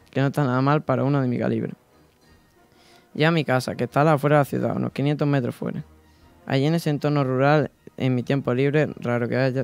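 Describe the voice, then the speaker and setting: A man's voice: medium pitch, creaky, a little mellow, clean tone, close to an everyday voice. A teenage boy from Spain playing a humble, weary craftsman of the 1790s, reading a first-person letter aloud in a small school radio studio. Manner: plain reading-aloud delivery with small slips. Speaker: student reader on school radio. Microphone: desk microphone, headphones.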